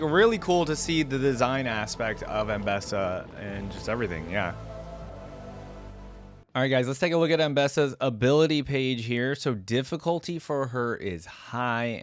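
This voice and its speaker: A man giving casual reaction commentary as a host, sometimes reading text aloud. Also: medium pitch.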